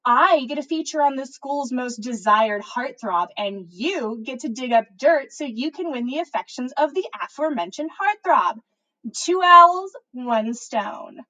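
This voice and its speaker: A woman's voice: high-pitched, expressive, unhurried, bright, forceful tone, highs cut off, heavily acted. A woman voicing a teenage witch schoolgirl, a bossy, scheming gossip editor with a teasing, knowing manner, in a story reading. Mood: smug. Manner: teasing, matter-of-fact, knowing.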